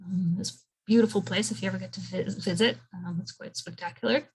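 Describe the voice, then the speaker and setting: A woman in her thirties gives a relaxed career talk over a video call. She is friendly and chatty, and she often pauses on an um. Her pitch sits in the middle for a woman. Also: husky, low-quality recording. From Belgium. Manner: friendly, conversational, frequent ums. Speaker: invited speaker.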